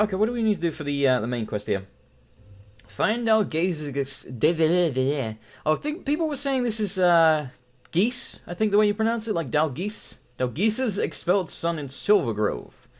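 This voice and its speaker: A British man hosting a gameplay video, joking, swearing and telling rambling stories as he plays. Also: on the high side. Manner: profane, joking, animated storytelling. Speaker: gaming video host. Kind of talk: let's play commentary, rambling anecdotes.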